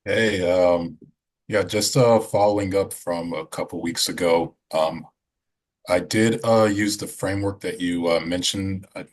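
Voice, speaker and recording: Low husky guy, hesitant, lots of ums. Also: steady tone, a little slow. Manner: hesitant, many ums and uhs. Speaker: caller on a support call. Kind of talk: casual chat on a call.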